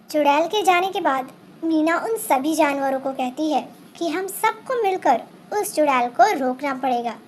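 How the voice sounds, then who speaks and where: A woman's voice: high-pitched, slight background noise. A woman reading a scripted fairy tale for children as a storyteller.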